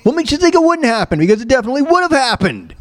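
A man with a high, very animated voice hosting a show. He comments on news in a blunt, emphatic way, often stumbling, repeating himself and rambling as he goes. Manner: halting, repetitive, rambling delivery. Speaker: show host. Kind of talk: opinionated commentary on news clips.

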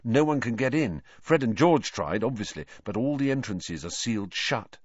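An informal, chatty teenage schoolboy, voiced by a man reading a storybook. He sounds a little uneasy, with a highly animated pitch. The recording is dry. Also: normal speed, no echo, muffled recording, natural voice. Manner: muttered, informal.

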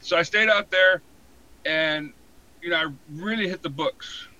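An American man in his forties, telling his story casually in an interview with a halting, colloquial delivery full of "you know". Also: high voice, sharp tone, muffled recording. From San Diego, born in Hawaii. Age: 40s. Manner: halting, colloquial, frequent 'you know'. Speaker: podcast guest, fire protection inspector.

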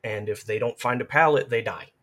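A man's voice: mid-range voice, fairly quick, bright, forceful tone. A man chatting casually as a guest, explaining video game play in detailed, analytical fashion. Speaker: podcast guest, gamer.

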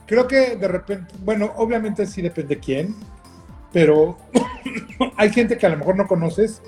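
A man's voice: high-pitched, a little slow, darkish tone, faint music behind. A man with a Mexican accent hosting a casual chat show, teasing and joking with slang. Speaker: host.

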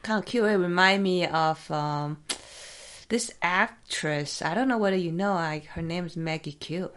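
A podcast host with a somewhat low voice for a woman, interviewing a guest in her office. She is inquisitive, often jumping in with follow-up questions in a casual, conversational way. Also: normal speed, fairly bright tone. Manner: curious, asks probing follow-up questions.

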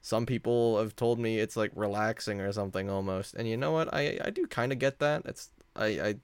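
A man with a deep voice hosting a casual solo podcast, talking in a rambling, conversational and self-deprecating way. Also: brisk, clean tone, no room sound. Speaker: podcast host.

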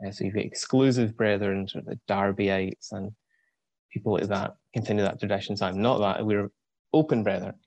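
A young man in his twenties with a Scottish accent, a guest on an interview, explaining things thoughtfully with frequent pauses and ums. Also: on the low side, fairly even intonation, darkish tone, no echo. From Scotland. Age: 20s. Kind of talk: casual explanatory talk.

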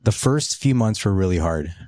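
Casting: middle-aged Polish-born man, deep voice, dry close sound, easygoing podcast chat. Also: normal speed, no echo. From Poland. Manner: relaxed, rambling, with casual swearing. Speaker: company co-founder.

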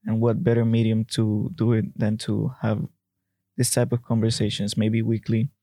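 A young man co-hosting a faith podcast, speaking in a measured way with pauses. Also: fairly low pitch, monotone, mellow, no echo, studio quality. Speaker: podcast co-host. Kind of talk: casual chat.

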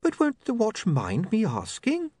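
A man's voice: sits high, huge pitch swings, unhurried, darkish tone, no echo, pitched above the performer's own voice, exaggerated voice. Guy doing a prim proper young lady, fussy and precise, voice swoops all over.

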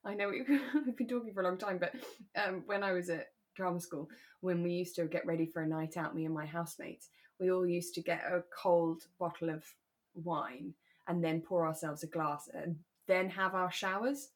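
British female podcast co-host, slightly low voice, relaxed and reflective conversational delivery. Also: moderate pace. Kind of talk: relaxed discussion of a radio drama.

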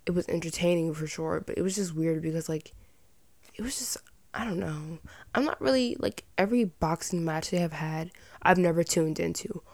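Slightly raspy young woman, lowish voice, dry sound. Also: normal speed, darkish tone, no room sound. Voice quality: slightly raspy with vocal fry at sentence ends. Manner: informal, rambling, opinionated. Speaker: podcast host. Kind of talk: casual chatty solo commentary.

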